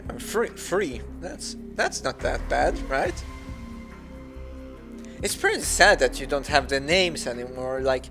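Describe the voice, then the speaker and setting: A man's voice: medium pitch, very animated, slow, music in the background. A young man from Sweden with a Swedish accent, whose first language is Swedish, giving casual commentary over a game, hesitant, with many fillers and restarts. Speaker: gaming YouTuber.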